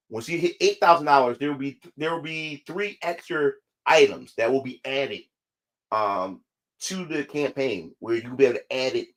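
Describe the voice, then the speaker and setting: A man talking casually to his viewers, repeating himself and restarting phrases, his voice not quite recovered. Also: mid-range voice. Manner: repetitive, restarts phrases often. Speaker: independent comic creator. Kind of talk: casual livestream talk to viewers.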